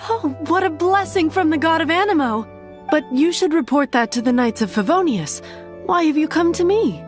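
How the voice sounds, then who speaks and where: A woman's voice: high-pitched, expressive, faint music behind. A woman playing a devout, officious cathedral nun in a video game, formal and prim, politely brushing people off. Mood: polite, pious. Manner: formal, prim, measured wording.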